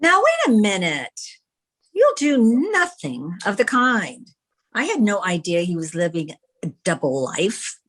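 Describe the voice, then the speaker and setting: A woman's voice: mid-range voice, wildly swooping pitch, unhurried, fairly bright tone, full character voice. A woman playing a wry, romantic leading lady in an old-time radio newspaper comedy. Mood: indignant.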